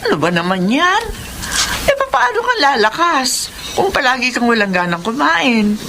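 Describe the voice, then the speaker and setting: A woman's voice: high voice, sing-song, moderate pace. A woman playing a long-suffering elderly mother, fussing and nagging in a radio drama. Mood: exasperated. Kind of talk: Filipino radio drama acting. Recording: noisy radio drama track.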